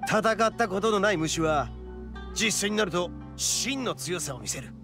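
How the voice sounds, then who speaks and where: A man voicing a boisterous, cocky young male oni in an anime-style game, with brash, rough, boastful speech. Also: high voice, lively intonation, a little slow. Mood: confident, explaining. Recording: studio voice over game music. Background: background music.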